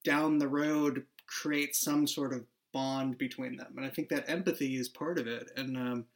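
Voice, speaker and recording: A man's voice: medium pitch, fairly even intonation, moderate pace. A man who writes and created the show, talking casually and thinking aloud about his characters. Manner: reflective, thinks aloud with restarts. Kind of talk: casual behind-the-scenes chat.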